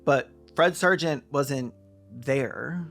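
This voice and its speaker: A man's voice: mid-range voice, very slow, creaky, a bit bright, faint music behind. A man narrating a scripted video essay with casual asides, in an emphatic, opinionated way. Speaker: video essayist and podcast host.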